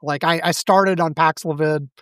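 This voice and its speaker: A man co-hosting a casual podcast chat, speaking with a scratchy throat and stuffy head, rambling and halting. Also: a little high, fairly even intonation, fairly bright tone, dry recording, clean studio sound. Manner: rambling, halting, self-deprecating. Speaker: podcast co-host.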